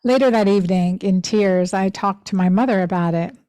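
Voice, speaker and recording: Earnest woman in her 50s telling her own story, mid pitched, dry close sound. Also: brisk, mellow, dry recording. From Pennsylvania, USA. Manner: earnest, heartfelt and reflective. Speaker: podcast host. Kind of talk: personal storytelling monologue.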